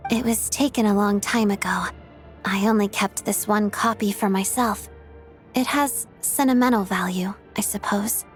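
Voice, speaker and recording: A composed young woman's voice at an average pitch, acted for a game. She is a reserved, frank ballerina who speaks with cool, measured understatement over faint music. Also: steady tone, lightly acted. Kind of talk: video game cutscene acting.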